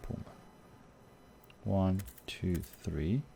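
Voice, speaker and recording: Slow, low Portuguese man streaming a game. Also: expressive, gravelly, dark tone, slight background noise. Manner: thinks aloud, reads game text, chats with viewers. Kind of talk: live gameplay commentary.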